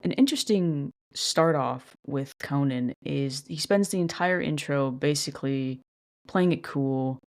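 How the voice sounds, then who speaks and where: Monotone woman hosting a casual podcast. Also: a bass voice, a little slow. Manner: self-described monotone delivery; chatty, self-deprecating, mild swearing. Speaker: podcast host. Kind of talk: casual solo commentary.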